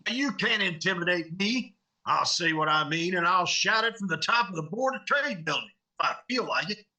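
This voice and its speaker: An actor playing a wry, scrappy, wisecracking newspaper reporter in a 1950s-style radio drama. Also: male voice, high-pitched, expressive, moderate pace, bright, forceful tone, exaggerated voice. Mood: defiant. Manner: wisecracking, colloquial.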